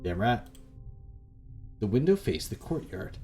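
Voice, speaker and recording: A man's voice: on the low side, very animated, unhurried, mellow. A man reading a visual novel aloud as a let's play host, with casual, irreverent, slangy commentary. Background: music.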